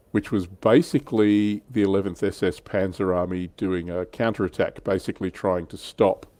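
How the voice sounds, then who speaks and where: A man with a low, mellow voice talks through history like a livestream host. His delivery is informal and keen, recorded close and dry. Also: no room sound. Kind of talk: casual explanatory history chat.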